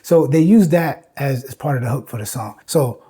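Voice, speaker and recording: A man's voice: mid-range voice, lively intonation, moderate pace, mellow, slightly rough. An American man in his fifties giving informal educational commentary, emphatic and repeating phrases to drive points home. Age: 50s. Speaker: video essay host.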